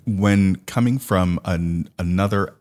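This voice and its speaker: Deep-voiced man telling his story, roomy reverb. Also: slow, darkish tone. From Guyana and Trinidad. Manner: easygoing storyteller, lots of "right?" and "you know". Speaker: guest. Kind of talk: casual interview, life story.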